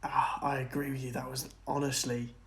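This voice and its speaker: A man's voice: mid-range voice, level tone, normal speed, fairly bright tone. A man co-hosting a podcast, chatting casually and earnestly as he speculates about film and TV. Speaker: podcast co-host.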